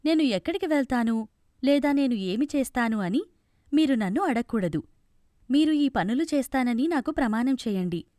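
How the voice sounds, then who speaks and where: A woman plays a young storybook fairy, guarded and formal. The voice is high and animated, with an insistent manner of setting out terms. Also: moderate pace, no echo, clean studio sound. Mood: firm, insistent.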